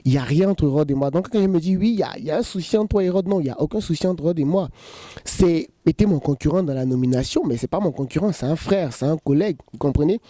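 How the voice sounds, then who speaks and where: A man in his thirties, a choreographer, answering interview questions in a relaxed, joking way and saying "voilà" often. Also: on the high side, fast talker, no echo. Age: 30s.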